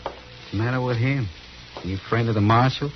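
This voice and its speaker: A man's voice: medium pitch, normal speed, close to an everyday voice. Guy doing cold cocky american gunslinger, curt n threatening, old western radio play, muffled under big engine noise. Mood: cold menace. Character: menacing, arrogant male Old West gunslinger, cold bully. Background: loud vehicle engine.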